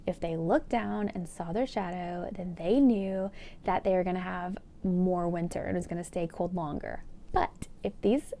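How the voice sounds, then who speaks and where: An American woman hosting a children's read-aloud, explaining and reading in an animated way, speaking directly to young listeners. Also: on the low side.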